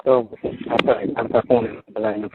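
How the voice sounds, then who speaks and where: A man's voice: medium pitch, little pitch movement, moderate pace, background noise. Marwari-accented man on a tinny phone line. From Rajasthan, India. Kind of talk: casual private phone chat. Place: phone call.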